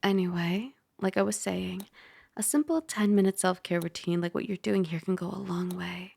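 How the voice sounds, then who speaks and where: Woman playing a dragon girl roommate, asmr roleplay, soft n chatty, bit of scolding, creaky voice, super dry close sound. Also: lowish voice, moderate pace, dry recording. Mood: gentle, reassuring. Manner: soft, chatty, gently instructive, sometimes dropping to a whisper.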